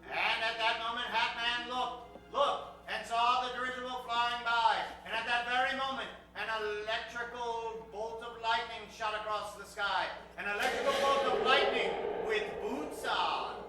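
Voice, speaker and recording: A man's voice: very high-pitched, steady tone, moderate pace, hardly any pauses, sharp tone, faint music behind. A man narrating an improvised old-time radio show as a melodramatic announcer. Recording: echoey stage, far from mic.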